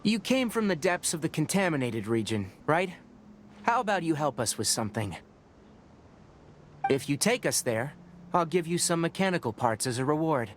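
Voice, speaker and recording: An actor playing a calm, scholarly young forest ranger in a video game, measured and patient as he explains. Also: a little high, noisy background. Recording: game audio with clear ambience.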